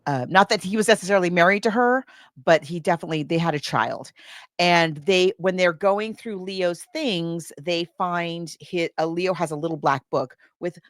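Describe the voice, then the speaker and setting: A woman's voice: fairly low pitch, moderate pace, no room sound, clean studio sound. A woman hosting a podcast, chatting casually and retelling a story in a rambling way. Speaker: podcast host. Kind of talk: casual chat recounting a film plot.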